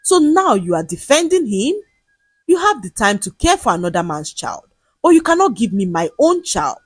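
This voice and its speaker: A woman's voice: fairly high pitch, big pitch swings, moderate pace, slightly rough, no room sound. A woman voicing a cruel, jealous husband in a folktale, scornful, accusing and domineering. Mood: bitter, mocking.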